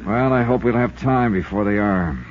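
A man's voice: lowish voice, moderate pace, slight background noise, close to an everyday voice. An American man playing a shrewd, wry insurance investigator in a 1950s radio drama, narrating and talking in clipped, hard-boiled phrases. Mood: probing, firm. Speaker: star of a radio detective series. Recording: muffled vintage 1950s radio recording, music behind.

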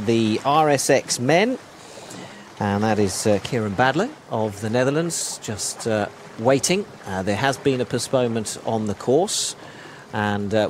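A man in his thirties commentating live on sailing from a studio, wearing a headset, talking in a relaxed way with many fillers. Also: medium pitch, slight background noise. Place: studio with sea view.

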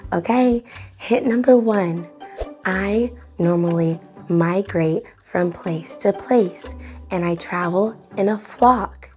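A young woman hosting storytime for children, speaking in a lively, encouraging way straight to her young audience. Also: mid-range voice, slow, soft background music. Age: young adult. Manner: encouraging, addresses children directly. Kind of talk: lively instruction and read-aloud for young children.

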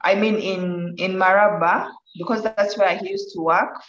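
A woman's voice: mid-range voice, expressive, normal speed, fairly bright tone. A woman with a Ugandan accent, a life coach speaking casually as an interview guest over a video call from a room. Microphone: video call device. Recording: roomy, slightly dull video call.

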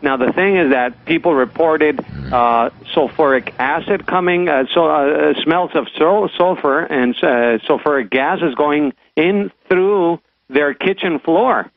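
A man giving an informal news report as a remote panelist, in halting, piecemeal phrases. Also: fairly high pitch, creaky. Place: remote call into radio show. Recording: thin phone line with faint noise.

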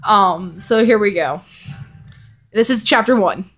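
A woman's voice: mid-range voice, measured pace, fairly bright tone. A young woman vlogger chatting casually and reading fan fiction in a rambling, giggly way. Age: young adult. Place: living room indoors.

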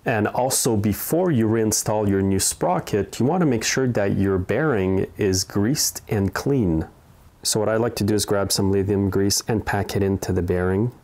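A man's voice: lowish voice, moderate pace, darkish tone. A middle-aged man narrating a hands-on repair tutorial in a plain-spoken, step-by-step way. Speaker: small engine repair host. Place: indoor repair workshop.

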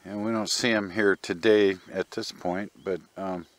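Older male hiker, lower-pitched voice, informal and halting trail narration into a handheld camera outdoors. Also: steady tone, slight background noise. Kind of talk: casual trail commentary. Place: outdoors on an open hillside trail.

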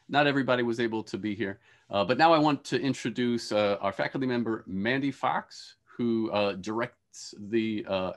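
A man in his forties moderating a panel over a video call, speaking in hesitant phrases with repeated words. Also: medium pitch. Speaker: host and moderator, professor. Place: indoor room with shelves, video call.

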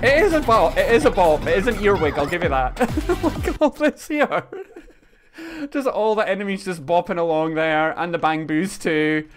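A man in his thirties giving a casual, excitable video reaction as a streamer, often repeating his phrases. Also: sits high, moderate pace. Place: small indoor streaming room. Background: video game trailer music.

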